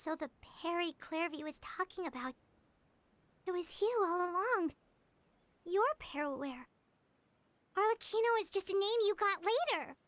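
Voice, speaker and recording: Casting: tiny childlike game fairy, extremely high squeaky voice, earnest, excitable, blunt, dry studio sound. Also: female voice, unhurried, pauses often, no room sound, heavily acted. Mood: surprised, realizing. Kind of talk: video game character acting.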